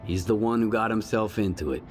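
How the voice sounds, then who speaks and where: An actor playing a curt, hard-bitten mercenary in a video game, terse and unimpressed. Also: male voice, fairly low pitch, a little fast, faint music behind, natural voice. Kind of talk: video game dialogue acting.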